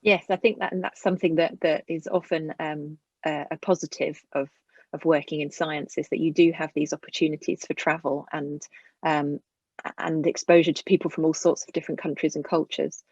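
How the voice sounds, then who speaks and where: A woman's voice: deep voice, steady tone, fairly quick, darkish tone, slightly rough, no room sound, highs cut off. A woman interviewing a scientist, asking questions and chatting in a hesitant, friendly way.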